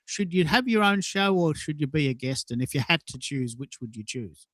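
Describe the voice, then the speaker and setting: Man, sixties, talk show host, slightly high voice, dry close sound, conversational. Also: expressive, moderate pace, fairly bright tone, dry recording. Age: 60s. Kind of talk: conversational round-robin hosting.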